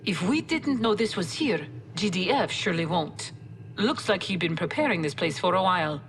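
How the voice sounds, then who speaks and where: Low, gravelly faction officer. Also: female voice, expressive, normal speed, slight background noise. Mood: wry, familiar. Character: loyal, composed female Dynasty officer, familiar and wry. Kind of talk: conversational video game briefing.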